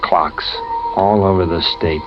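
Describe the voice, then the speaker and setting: A low-pitched man narrates as a lonely, frightened prisoner awaiting execution. He speaks plainly and confessionally in a 1950s radio drama, on a muffled old recording with prominent music behind him. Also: steady tone, unhurried. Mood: brooding, tense. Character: fearful, lonely condemned man telling his story from death row.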